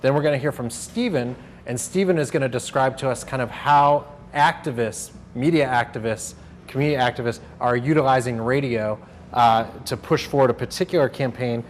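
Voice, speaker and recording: A man moderates a workshop discussion in a middle-pitched voice. He explains things to the group in halting phrases, with some room noise behind him. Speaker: workshop host and panel moderator. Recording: noisy room recording.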